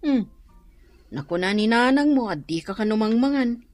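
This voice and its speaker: Woman playing a frank, caring Ilocano friend, highish voice. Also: expressive, normal speed, darkish tone, no room sound, soft background music, lightly acted. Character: frank, caring young female friend giving advice. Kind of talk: radio drama acting.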